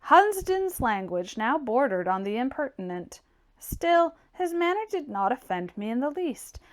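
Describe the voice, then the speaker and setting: Animated, higher-voiced woman narrator. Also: unhurried. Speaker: audiobook reader. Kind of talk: scripted literary narration in first person.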